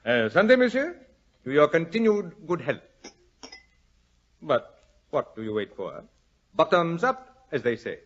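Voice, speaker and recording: A courtly gentleman of old radio drama, slyly suave and effusive. His husky voice swings widely in pitch colors every flourish. The recording is dull and lo-fi. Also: very animated, unhurried, pauses often. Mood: smooth, teasing. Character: suave French husband, effusive host, suspected poisoner.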